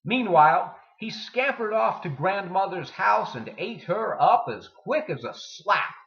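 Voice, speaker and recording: A man giving a dramatic poetry reading with deliberate, theatrical delivery and emphatic pauses. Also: high-pitched, very animated, gravelly. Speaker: poetry reader. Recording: dull lo-fi sound in echoey room.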